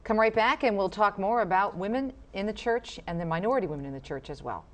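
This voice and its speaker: A woman co-hosting a talk show, briskly steering the conversation and introducing segments. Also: mid-range voice, expressive, very noisy. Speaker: co-host.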